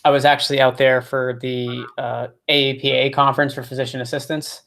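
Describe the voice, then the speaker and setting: A man's voice: mid-range voice, flat delivery, fairly quick, gravelly, a bit bright. A man hosting a podcast interview over a video call, talking casually with many restarts and fillers. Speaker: podcast host.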